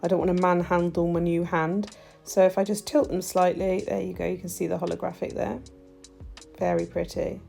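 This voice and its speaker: A woman with a stuffy, nasal voice, chatting warmly through a nail tutorial as she works. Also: lowish voice, level tone, moderate pace, creaky, soft background music. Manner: chatty, gushing, talks while working. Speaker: nail technician demonstrating gel polish. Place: home nail studio.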